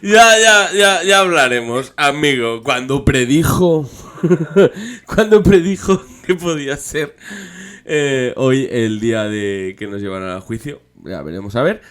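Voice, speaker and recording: Bright, clear-voiced male co-host. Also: medium pitch, unhurried. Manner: joking, ironic, laughs often. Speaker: podcast co-host. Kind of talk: casual political banter.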